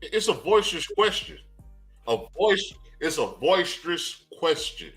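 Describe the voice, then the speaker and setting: Lively, bright-voiced man joking around. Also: fairly high pitch, a little slow, faint music behind. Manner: animated, emphatic, joking. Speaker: podcast co-host. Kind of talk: casual chat.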